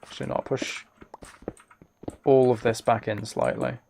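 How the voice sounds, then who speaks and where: A man casually chatting on a live game stream, thinking aloud in a relaxed way, close to the microphone. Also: mid-range voice, normal speed, gravelly, a little hiss or hum. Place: indoors at a computer.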